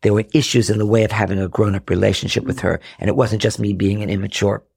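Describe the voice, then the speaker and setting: Man in his forties, from Rhode Island, gravelly low voice, husky, animated storyteller, dry close sound. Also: fast, no room sound. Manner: animated, acts out quoted family dialogue. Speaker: podcast guest. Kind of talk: candid storytelling in conversation.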